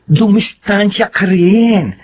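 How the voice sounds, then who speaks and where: A woman's voice: mid-range voice, slow pace, a little mellow, slightly rough, lo-fi sound. A woman playing a frank, meddling young office friend in a Filipino radio drama, with emphatic, admonishing talk and a Filipino accent. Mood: teasing warning.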